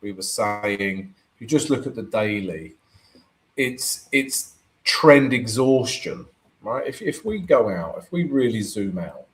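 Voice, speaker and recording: A man with a croaky voice hosting a live trading stream, chatting through his charts and answering viewer comments, often checking in with "right?". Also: fairly low pitch, expressive, slow pace, low-quality recording. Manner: chatty, keeps asking "right?" to the audience.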